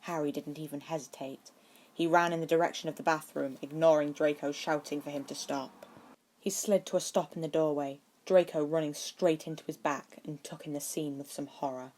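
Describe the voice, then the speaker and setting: A woman's voice: low-pitched, fairly even intonation, normal speed, darkish tone. A woman narrating a scripted story as an amateur audiobook reader.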